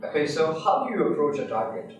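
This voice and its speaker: A man's voice: fairly high pitch, big pitch swings, fast talker, soft background music. A man interviewing a guest, asking brief, plain questions. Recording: very echoey, far from mic.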